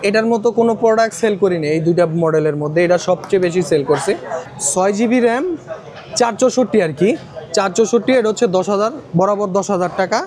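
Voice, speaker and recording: A Bangladeshi man sells phones on camera in a rapid, high voice. He lists models and prices one after another, often repeating the figures. The chatter of a busy shopping complex is clearly heard behind him. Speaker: phone shop seller presenting stock and prices. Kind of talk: sales pitch to viewers in a shop walkthrough. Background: crowd chatter.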